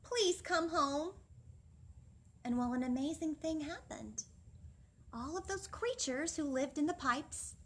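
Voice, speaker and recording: A woman hosting a children's storytime, expressive and sing-song, speaking directly to young listeners. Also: sits high, unhurried. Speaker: children's librarian, storytime host. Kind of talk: animated picture-book reading and instruction for young children. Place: library room, seated indoors.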